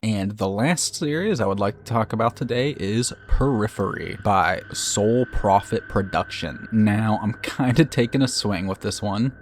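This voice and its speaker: A man's voice: lowish voice, unhurried, hardly any pauses, background noise. A man narrating a video review in a conversational scripted style, with informal, chatty asides as host. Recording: narration over background music. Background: background music.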